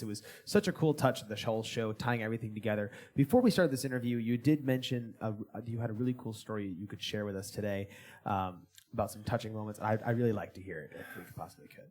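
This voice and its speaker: A man's voice: fairly low pitch, darkish tone. A man interviewing a guest, enthusiastic and full of praise as he asks for stories. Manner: enthusiastic, complimentary questioning. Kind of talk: casual interview.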